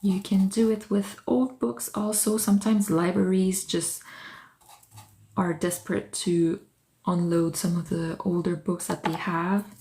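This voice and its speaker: A woman whose first language is French chats live from her art studio. She takes her time and thinks aloud with small fillers. She warmly welcomes each viewer by name while she works. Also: on the low side, fairly even intonation, measured pace. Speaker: artist hosting a livestream. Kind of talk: casual livestream chat while working.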